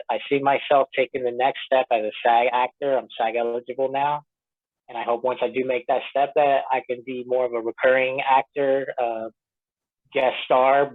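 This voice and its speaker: Tinny phone-line sound, male interview guest from Florida, casual answers, mid-range voice. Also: medium pitch. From Tampa Bay, Florida, United States; born in Puerto Rico. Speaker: actor being interviewed.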